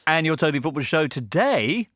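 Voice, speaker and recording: A man's voice: on the high side, brisk, no room sound, clean studio sound, faint music behind. A man hosting a football podcast, playful and punning as he keeps the chat moving. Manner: playful, punning, lively presenting. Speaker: podcast host.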